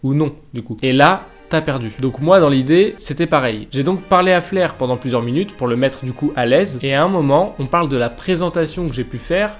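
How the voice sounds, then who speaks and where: Young man, French accent, chatty storytelling, slightly high voice, faint music underneath. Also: fairly quick, few pauses. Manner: casual, chatty, addresses viewers directly. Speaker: YouTuber narrating a story. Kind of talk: storytelling vlog narration. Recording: faint music bed behind voice.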